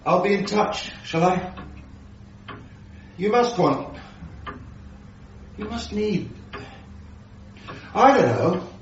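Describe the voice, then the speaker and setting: An actor playing an exasperated, pleading, bitter middle-aged husband in a radio drama, ranting in halting bursts. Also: male voice, high-pitched, lively intonation, a little hiss or hum, exaggerated voice. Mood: bitter, defeated. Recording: echoey stairwell, distant, muffled radio broadcast.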